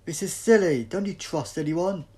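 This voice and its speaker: A man's voice: on the high side, expressive, moderate pace, dark tone, voice pushed up, lightly acted. A man reading the part of an emphatic, commanding heroic doctor in a storybook. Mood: exasperated. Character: urgent, exasperated heroic male alien doctor.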